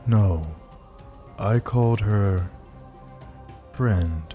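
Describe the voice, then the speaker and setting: A man acting a heartless villain with a very low, slow voice. He speaks formally and with cold detachment, choosing archaic words as in a dramatic game cutscene, with music underneath. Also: mellow. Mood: somber, detached. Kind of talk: scripted animated game parody dialogue.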